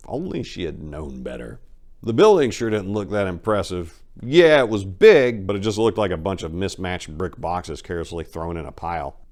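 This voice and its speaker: A man giving a theatrical, sardonic dramatic reading of a gritty crime story as its narrator. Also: low-pitched.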